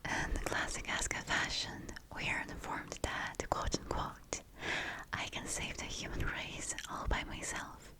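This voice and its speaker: A woman's voice: a little slow, low-quality recording. Whispering woman, ASMR style. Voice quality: unvoiced, breathy whisper. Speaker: ASMR video creator presenting a product unboxing. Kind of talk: whispered ASMR unboxing narration.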